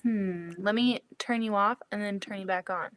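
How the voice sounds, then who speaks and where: A woman hosting a casual sewing livestream, talking in a chatty, scattered, self-deprecating way with a soft voice. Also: medium pitch, moderate pace, dry recording. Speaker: livestream host.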